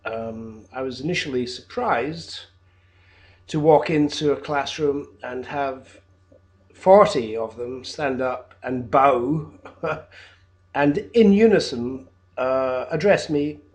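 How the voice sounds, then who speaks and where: A man in his fifties, a teacher talking to camera from his flat in a hesitant video job application, with a loud voice and frequent ums and uhs. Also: mid-range voice, takes it slowly. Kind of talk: unscripted video application monologue. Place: his own flat, indoors.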